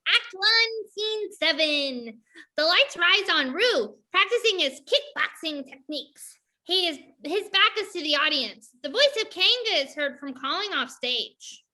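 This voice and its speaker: A woman's voice: extremely high pitch, lively intonation, unhurried, bright, forceful tone. A woman reading out stage directions as scripted narration for a play.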